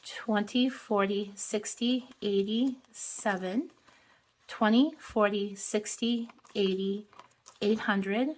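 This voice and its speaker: Slow-talking hoarse woman vlogger. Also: medium pitch, slight background noise, rough recording. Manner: slow, chatty, counts bills aloud. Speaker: budgeting channel host. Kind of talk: casual cash-stuffing vlog.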